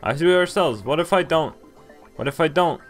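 A man's voice: on the high side, expressive, fast talker. A young man reading game text aloud and commenting casually as he plays. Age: young adult. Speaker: game streamer. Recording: game music behind.

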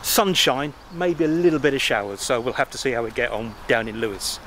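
A man's voice: medium pitch, a little fast, fairly bright tone, low-quality recording. Rambling man vlogging by a busy road. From England. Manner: chatty, rambling, self-deprecating asides. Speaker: travel vlogger. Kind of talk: casual walking vlog. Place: outdoors by a roadside country churchyard. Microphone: handheld selfie camera at arm's length. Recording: traffic noise and wind outdoors. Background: passing traffic and wind.

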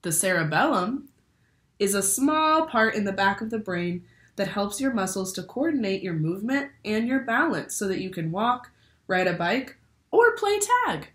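A woman's voice: mid-range voice, very animated, moderate pace, a bit bright. A young woman reading a children's picture book aloud like a teacher, expressively, asking the listeners questions. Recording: roomy indoor echo.

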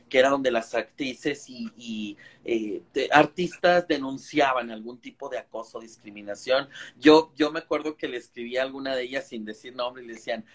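A man, speaking earnestly and a little preachily as a guest on a phone video call. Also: medium pitch. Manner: earnest, preachy, many fillers and restarts. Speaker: guest on a live stream. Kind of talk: casual video call chat.

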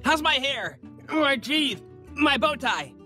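A woman voicing a frantic, lovestruck young male hedgehog in a cartoon comic dub, indignant and excitable. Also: high voice, takes it slowly, faint music behind, heavily acted. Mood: nervous.

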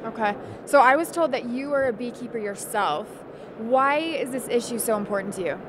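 A young woman hosts with a somewhat high voice, brisk and polished, reading her intro and putting questions. She holds a handheld mic in a large domed rotunda, with plain hall noise around her. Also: animated. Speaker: interviewer, host. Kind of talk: scripted intro and interview questions. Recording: clear background noise in a big hall.

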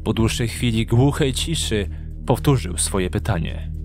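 A man narrating a scripted audio drama with measured storytelling delivery. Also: fairly low pitch, animated, music in the background.

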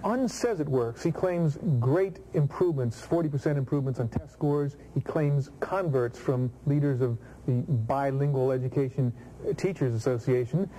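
Middle-aged male reporter, mellow voice. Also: medium pitch, moderate pace, slight background noise, poor audio. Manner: unscripted, many uhs and ums. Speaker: TV news reporter. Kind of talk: studio debrief with anchor. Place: TV news studio. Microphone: lapel mic.

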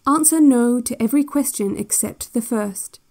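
A woman playing a calm, controlling relaxation tape instructor in an audio drama, measured and soothing with a quietly ominous air, giving guided instructions. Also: a little high, close to an everyday voice. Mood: calm, instructing. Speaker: narrator of relaxation cassettes. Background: new-age music.

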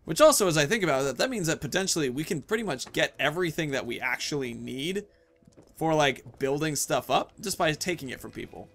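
A man's voice: a little high, animated, brisk, fairly bright tone, a little hiss or hum. A man in his thirties giving casual, playful gameplay commentary, rambling and talking to himself as he plays. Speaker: gaming video host.

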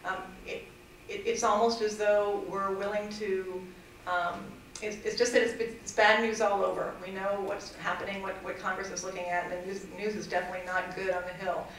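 A woman's voice: medium pitch, level tone, normal speed, a bit bright, a little hiss or hum. A middle-aged woman advocating for fair housing at a public hearing, earnest and hesitant, with many fillers and restarts. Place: council chamber. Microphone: desk mic at a distance. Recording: echoey chamber, far from mic.

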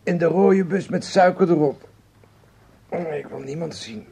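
An actor in a radio drama playing a weary, disillusioned lover with a Netherlandic Dutch accent, languid and grumbling. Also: male voice, a little high, measured pace, mellow, slightly rough, exaggerated voice.

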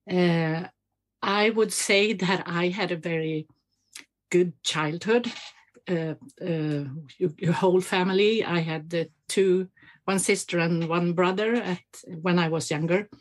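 A Swedish woman in her fifties speaks English with a Swedish accent, slowly and with many pauses. Her voice is husky and a little low, and she talks in a thoughtful, hesitant way. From Sweden. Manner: hesitant, many fillers and restarts, reflective. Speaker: guest, medical doctor. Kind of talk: interview conversation.

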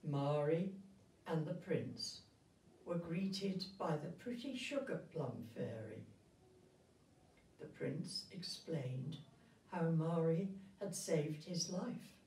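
An elderly woman reading a storybook aloud to children in a gentle, grandmotherly and expressive way. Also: deep voice, slow pace, slight background noise. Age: 70s or older. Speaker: retirement village resident reading a story. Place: indoor room with festive backdrop. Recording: roomy sound, distant microphone.

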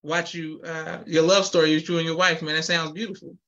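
Young guy host on a video call, bright sharp voice, polite and hyped. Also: a little high, little pitch movement, a little fast, highs cut off. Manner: upbeat, formal thank-yous, affirming. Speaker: podcast host. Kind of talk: hosting an interview. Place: indoor room with posters. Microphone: video call, webcam.

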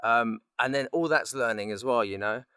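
A man giving advice in a casual podcast chat, in a halting, self-interrupting way. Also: medium pitch, bright, forceful tone, smooth, dry recording, studio quality. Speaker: voice actor, podcast guest.

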